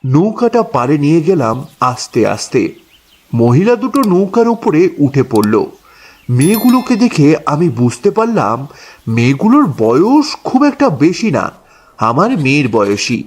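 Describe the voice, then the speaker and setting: A man's voice: on the high side, sing-song, normal speed, breathy edge. A man narrating a horror story dramatically in the first person as a boatman.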